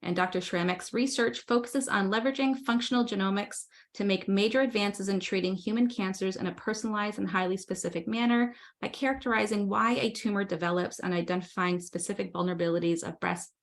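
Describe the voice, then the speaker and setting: A woman hosting a webinar over a video call, reading a speaker introduction in a friendly but slightly halting way. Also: medium pitch, fairly even intonation. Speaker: webinar host.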